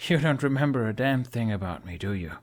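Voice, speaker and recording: A man's voice: mid-range voice, animated, brisk, close to an everyday voice. A wry young Viking outlaw, acted by a male audiobook narrator. He sounds guarded and awkward, with a hesitant, understated delivery. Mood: bitter, guarded.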